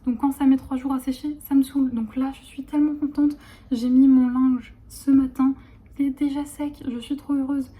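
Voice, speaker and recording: Woman, late 20s, casual vlogger; rambling, filler-heavy talk, warm dark tone, phone recording. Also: high voice, flat delivery, normal speed. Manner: chatty, rambling, many fillers and restarts. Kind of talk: casual vlog chat.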